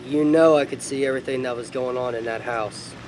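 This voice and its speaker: A man's voice: medium pitch, steady tone, fairly quick, a little mellow, slight background noise, natural voice, rough recording. A man acting as God, a heartbroken, loving father with a sorrowful, reflective manner in film dialogue. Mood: weary, probing.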